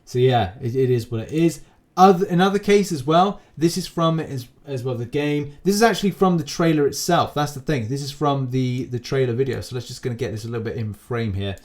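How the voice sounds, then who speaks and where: A man's voice: mid-range voice, animated, a little fast, hardly any pauses. Rambling man doing casual gaming commentary. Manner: rambling, repetitive, informal. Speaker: gaming channel host.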